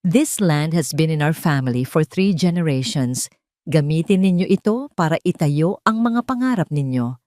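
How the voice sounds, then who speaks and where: Female reader as older dad, solemn, loving, earnest; low register, audio drama. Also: lively intonation, normal speed, mellow, dry recording, studio quality. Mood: solemn, warning. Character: loving, solemn older father entrusting his legacy.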